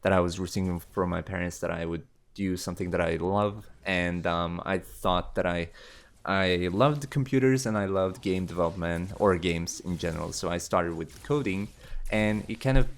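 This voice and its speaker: A man in his late teens answering viewer questions in a casual, rambling way, with many fillers and restarts. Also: sits low. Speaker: YouTube creator. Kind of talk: casual Q&A chat.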